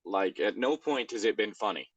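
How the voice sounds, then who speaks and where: A man's voice: fairly low pitch, expressive, normal speed, no room sound, dull audio. A man co-hosting a show in casual chat, rambling wryly as he reacts to a story. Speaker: co-host of a fan fiction reading show.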